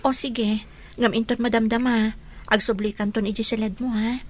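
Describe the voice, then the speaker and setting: A woman's voice: a little high, level tone, moderate pace, natural voice. A woman playing a sweet, hesitant young woman in love in a radio drama, with a Filipino accent. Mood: tender, earnest.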